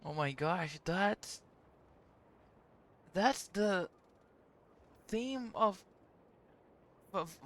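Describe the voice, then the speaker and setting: Giggly excitable man streaming a game. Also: high-pitched, very slow, long pauses. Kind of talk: casual live-stream chat.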